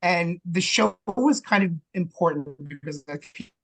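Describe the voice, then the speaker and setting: An American man chatting casually as an interview guest, animated and haltingly thinking aloud. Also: sits high, slight background noise. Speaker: actor, director and playwright, podcast guest.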